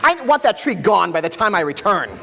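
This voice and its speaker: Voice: medium pitch, sing-song, brisk, vocal fry, bright, forceful tone, exaggerated voice. A performer playing a vain, bossy king in a community readers' theater, speaking imperiously in a reverberant hall. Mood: haughty, bossy. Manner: imperious, self-satisfied.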